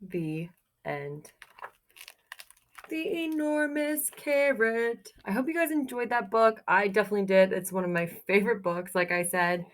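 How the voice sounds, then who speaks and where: A woman's voice: medium pitch, lively intonation, measured pace, a little hiss or hum. A woman doing a children's read-aloud like a teacher, reading expressively in a sing-song way and putting simple, encouraging questions to her young listeners.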